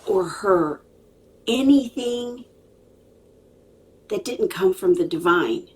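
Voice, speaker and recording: A woman's voice: mid-range voice, animated, slow pace. Emphatic woman telling a dramatic personal story. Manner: emphatic, repeats phrases, checks with "okay?". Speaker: psychic medium and storyteller.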